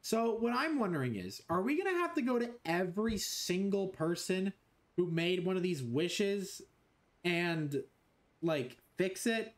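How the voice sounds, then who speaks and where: A man's voice: sits high, big pitch swings, unhurried. A young man streaming a game from a small room, joking crudely and rambling with his chat. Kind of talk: live game stream commentary.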